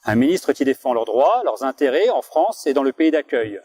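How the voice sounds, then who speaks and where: A man's voice: mid-range voice, very animated, normal speed, warm tone. A man narrating a scripted report introduction with a wry, rhetorical delivery.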